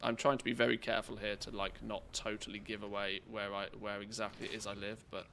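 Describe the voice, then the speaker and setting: A man's voice: lowish voice, level tone, fast talker, gravelly, fairly bright tone, slight background noise. A man streaming a game, chatting casually with his viewers and grumbling at the game between rambling stories. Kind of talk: casual chat while playing a game.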